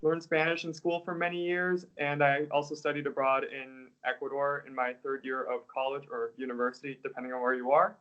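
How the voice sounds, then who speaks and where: A young man, an English teacher, answering interview questions in a relaxed, casual way, hedging often with "like" and "I guess.". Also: mid-range voice, brisk, few pauses, muffled recording. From United States.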